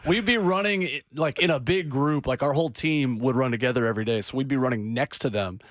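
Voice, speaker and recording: A man's voice: medium pitch, fairly quick, no echo, studio quality. A man co-hosting a morning sports radio show, telling stories in casual chat. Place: radio studio. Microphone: studio microphone.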